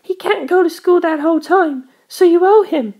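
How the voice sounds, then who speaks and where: A spoiled little aristocratic schoolgirl, acted out by a woman for an audiobook. The voice is pitched high, with a vain, bossy manner and an indignant edge. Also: normal speed, darkish tone. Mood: accusing. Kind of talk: audiobook character voice.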